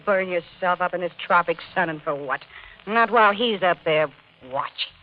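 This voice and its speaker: A woman's voice: on the low side, moderate pace, natural voice. An actress playing a greedy, discontented, manipulative woman in a 1950s radio drama. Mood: sullen, bitter. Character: Amanda, discontented, greedy, manipulative femme fatale. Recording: muffled, hissy 1950 radio broadcast.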